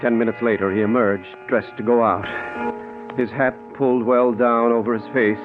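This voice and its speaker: A man's voice: fairly even intonation, moderate pace, loud music behind the voice, natural voice. A man acts a nervous, loyal companion in a 1940s radio mystery. He sounds easily alarmed and tense. The old recording is thin and tinny, a long way from modern sound. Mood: tense, recounting. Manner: nervous, pitch leaps in alarm. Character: anxious, loyal male companion narrating the tale.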